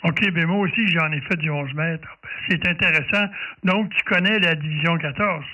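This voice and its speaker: A man's voice: a little high, normal speed, heavy background noise. A man in his sixties or older with a Québécois French accent, chatting casually on amateur radio and repeating his phrases. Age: 60s or older. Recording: distant, phone-like radio audio.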